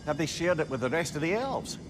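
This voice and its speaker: A man's voice: a little high, sing-song, fairly quick, background noise. A man playing a witty, tender old sage in a video game, with a Scottish accent and courtly, old-fashioned, talkative phrasing. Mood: chatty, courtly. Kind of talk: video game cinematic acting. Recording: game music behind the voice.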